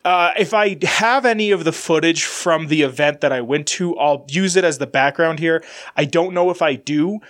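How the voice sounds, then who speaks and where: A man's voice: on the high side, fairly bright tone. A man hosting a casual podcast, rambling in a loose, chatty way while stuffy from a sinus infection. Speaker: podcast host. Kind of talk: casual solo podcast chat.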